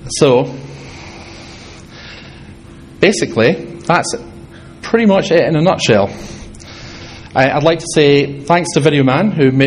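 A man with a Scottish accent giving a fast, halting technical talk to a hall audience, with many restarts and fillers. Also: medium pitch, a little hiss or hum. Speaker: conference speaker.

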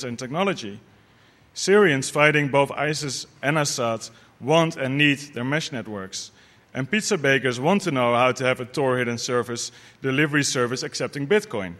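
Guy giving a conference speech, bright sharp tone, earnest. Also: mid-range voice. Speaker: conference speaker. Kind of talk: prepared speech.

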